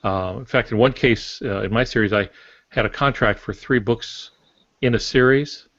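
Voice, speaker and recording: An older man, a novelist, talking casually on a writing podcast in a halting, matter-of-fact, anecdotal way. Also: medium pitch, a bit bright, no echo. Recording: dull lo-fi podcast audio.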